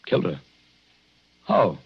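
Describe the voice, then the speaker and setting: An actor playing an anxious young husband, protective and skeptical, with jittery, emphatic reassurances in 1940s radio drama style. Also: male voice, slow pace, lightly acted. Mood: worried, disbelieving. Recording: dull 1940s radio recording.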